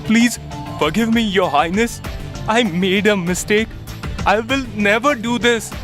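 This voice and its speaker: A cowardly cheat played by a man begs in a high, pleading voice. It is cartoon fable dialogue, with clear music underneath. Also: measured pace. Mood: pleading, frightened. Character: cowardly male butter cheat begging for mercy. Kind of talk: animated moral-story cartoon dialogue. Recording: music behind the voice. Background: background music.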